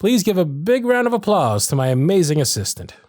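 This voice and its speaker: Showy stage magician, played by a man, higher-pitched and very animated, grand theatrical phrasing, audio roleplay. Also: dry recording. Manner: grand projected patter, drawn-out dramatic phrasing. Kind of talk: ASMR roleplay stage performance.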